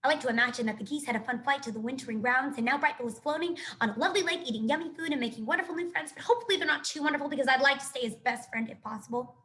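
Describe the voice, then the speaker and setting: A dreamy young female squirrel rattles on quickly in gushing, run-on sentences, as a woman reads her. Her voice is high. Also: bright, forceful tone.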